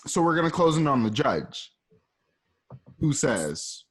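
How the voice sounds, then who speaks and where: A man's voice: mid-range voice, very animated, a little slow, slightly rough. A man narrating an amateur radio drama in a casual, playful way, with chatty asides and laughter.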